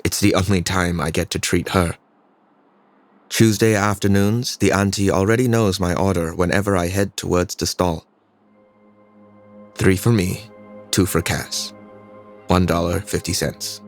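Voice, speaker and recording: A man's voice: low voice, steady tone, unhurried, long pauses, dry recording, faint music behind. A young man performing a scripted dramatic monologue as a troubled, introspective student telling his own life. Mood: reflective.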